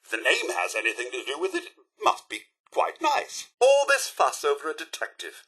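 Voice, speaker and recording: A fussy British robot butler from a video game. The voice is high and bright, with theatrical swoops in pitch. The speech is formal, devoted and a touch anxious, like a proper household servant. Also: male voice, huge pitch swings, moderate pace, breathy edge, heavily acted. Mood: eager. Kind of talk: video game character dialogue.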